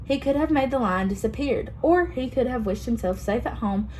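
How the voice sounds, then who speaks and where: A young woman reading a picture book aloud to children, expressive and pausing to ask them questions. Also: fairly high pitch, slight background noise, rough recording. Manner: expressive, asks listeners questions. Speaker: children's story reader.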